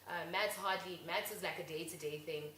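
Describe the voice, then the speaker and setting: A woman's voice: on the low side, moderate pace. Young South African woman, chatty show co-host, echoey room, faint hiss. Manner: lively, chatty, agreeable. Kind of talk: casual chat.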